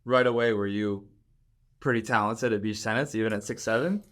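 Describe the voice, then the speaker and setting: A man's voice: fairly low pitch, brisk, fairly bright tone. A man casually interviewing a guest as a podcast co-host.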